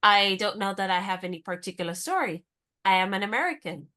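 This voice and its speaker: A spirited young American heroine of a radio comedy, acted by a woman. She has a mid-pitched voice and a brisk, forthright manner. She sounds independent and encouraging, as if nothing daunts her. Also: animated, sharp tone. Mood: polite, composed. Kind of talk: radio play comedy dialogue.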